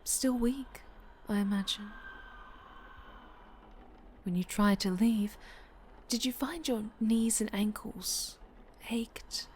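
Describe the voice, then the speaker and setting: A woman playing a possessive, obsessive winged dark-angel superhero, sinister yet doting, in an intimate, breathy ASMR roleplay that often slips toward whispering. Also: mid-range voice, takes it slowly, long pauses, a little hiss or hum, close to an everyday voice. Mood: sinister, coaxing.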